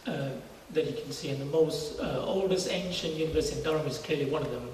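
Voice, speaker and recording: Middle-aged male guest from Singapore, slightly high voice, explaining conversationally, recorded at a distance in a reverberant space. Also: quick pace, darkish tone. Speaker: interview guest, university research scientist. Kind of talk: informal testimony interview. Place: large church hall, indoors. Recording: very echoey church hall, distant mic, faint noise.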